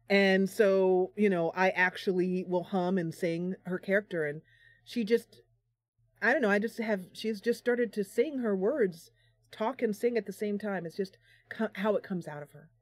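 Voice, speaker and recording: A woman's voice: medium pitch, no echo, studio quality. A woman hosting a game live stream, chatting casually and explaining things as she goes.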